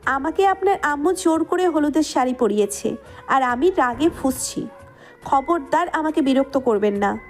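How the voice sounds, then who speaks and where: A woman playing an angry, sharp-tongued young bride in an audio story, scolding and defiant. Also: sits high, expressive, moderate pace. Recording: music behind the voice.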